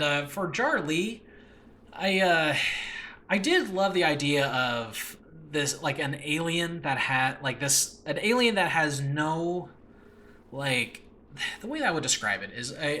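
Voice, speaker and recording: A man's voice: on the high side, sharp tone. A man hosting a casual, unscripted podcast about his own audio drama, talking in a rambling, earnest, self-deprecating way. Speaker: host and audio drama creator. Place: makeshift room in a new home. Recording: noisy makeshift home recording.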